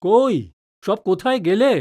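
A woman's voice: on the high side, unhurried, mellow, natural voice. A woman playing a wary, joking middle-aged father in an audio drama, with a teasing, exclamatory manner. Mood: brusque. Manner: teasing, mock-pompous, exclamatory.

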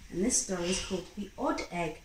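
A woman in her thirties reading a picture book to a young child in an expressive, encouraging way, pausing to ask questions. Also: on the low side. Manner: expressive, encouraging, acting out sound effects. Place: small room at home, indoors. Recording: roomy home recording with faint background noise.

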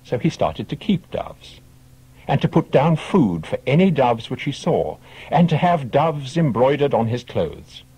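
A man with a husky voice reading short teaching tales as scripted audiobook narration. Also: medium pitch, big pitch swings, a little slow, dark tone, no room sound, poor audio.

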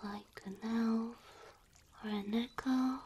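Woman playing a gentle cow girl girlfriend, high soft voice, slow halting delivery, often whispering, devoted, intimate ASMR roleplay. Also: steady tone, breathy edge, heavily acted. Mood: anxious, unsure.

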